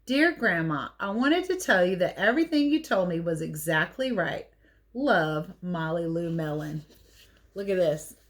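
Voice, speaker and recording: Animated woman teacher reading a storybook. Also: fairly low pitch, a little slow. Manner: expressive, playful, chatty commentary on the pictures. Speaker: teacher reading a picture book to children. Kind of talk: animated read-aloud storytelling with casual asides.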